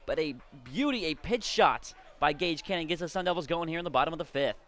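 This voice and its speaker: An American man works as the color commentator on a baseball broadcast. His voice sits a little high, and he explains the game in a chatty, conversational way. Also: lively intonation, quick pace, a bit bright. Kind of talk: live analysis, conversational sports commentary. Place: at a baseball stadium.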